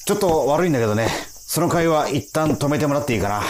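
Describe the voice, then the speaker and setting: An actor in a radio drama playing a gruff, cocky time-patrol officer, rough and condescending, easily irritated. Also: male voice, a little high, expressive, fast, background noise, natural voice. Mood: mock polite. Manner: condescending, rough interrogator talk.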